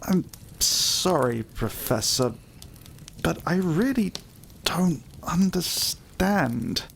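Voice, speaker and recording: An actor playing a polite, restrained, bereaved lord in a period audio drama, courteous and hesitant. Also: male voice, fairly high pitch, animated, drawn-out words, gravelly, a little hiss or hum, exaggerated voice. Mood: bewildered. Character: polite, reserved, bereaved young English lord.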